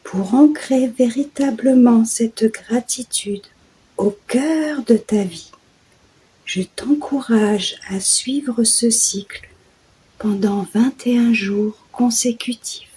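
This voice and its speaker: A woman guides a sleep meditation at an extremely unhurried pace. Her voice is mellow and very breathy, slipping often into a soft whisper. The delivery is hushed, soothing and deliberate. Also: a little high, rough recording. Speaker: guided meditation host and yoga nidra guide.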